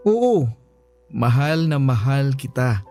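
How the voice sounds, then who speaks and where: A man's voice: medium pitch, big pitch swings, takes it slowly, warm tone. A man with a Filipino accent playing an apologetic, sweet-talking, humble husband in a radio drama. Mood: earnest, loving. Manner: pleading, sincere, coaxing. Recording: music under the voice.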